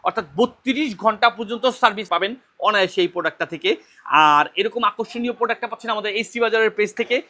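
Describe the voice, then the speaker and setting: A man with a Bangladeshi accent giving an informal sales pitch as an online shop presenter, often rephrasing as he explains. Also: fast talker, pushed and bright.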